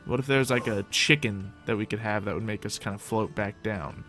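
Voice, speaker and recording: A young man hosting a modded game roleplay, chatting casually as he plays and talks to his pet dragon, with soft music behind him. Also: fairly low pitch, fairly quick. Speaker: roleplay gameplay host. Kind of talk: casual gameplay roleplay commentary.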